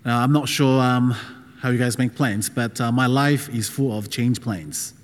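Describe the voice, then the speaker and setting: A man's voice: medium pitch, unhurried. A middle-aged man from Taiwan who moved to Australia as a child, a non-native English speaker, preaching informally and hesitantly as a guest. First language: not English. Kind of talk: informal personal sermon. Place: large reverberant room with a gathering. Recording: reverberant hall.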